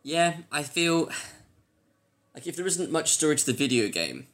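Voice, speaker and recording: A man's voice: fairly high pitch, big pitch swings, moderate pace, fairly bright tone. A man co-hosting a podcast, chatting in an informal, plain-spoken way. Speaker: podcast co-host. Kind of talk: casual chat.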